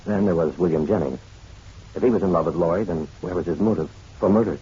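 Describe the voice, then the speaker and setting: Deep-voiced wry private eye. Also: male voice, quick pace, warm tone, breathy edge. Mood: brooding narration. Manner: wry, flirtatious, colloquial. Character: wry hard-boiled male private detective. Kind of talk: old-time radio detective drama, first-person narration and dialogue. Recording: dull muffled radio drama recording.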